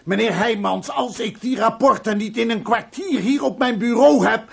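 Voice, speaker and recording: An irascible old notary in a radio play. His voice is very high for a man, heavily creaky and rough, and he blusters imperiously at everyone beneath him. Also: moderate pace, a bit bright, heavily acted. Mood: impatient, scolding. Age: older. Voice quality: gravelly, raspy. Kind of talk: Dutch radio drama acting.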